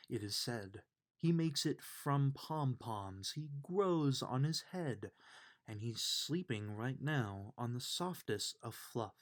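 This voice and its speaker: A man reading a children's bedtime story aloud in an expressive, unhurried way. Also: medium pitch. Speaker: storybook reader.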